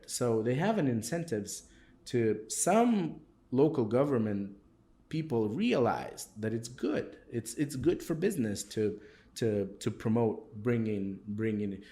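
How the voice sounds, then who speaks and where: A man's voice: medium pitch, unhurried. A man from Moldova who owns a coffee shop, talking casually in an interview and acting out the people he quotes. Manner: animated, acts out quoted people.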